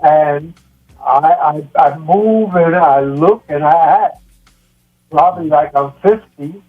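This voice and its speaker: A man in his seventies talking reflectively as a guest, in halting, deliberate phrases with long pauses, through muffled stream audio. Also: a little high, husky. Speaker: guest, yoga practitioner. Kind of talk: reflective conversational talk.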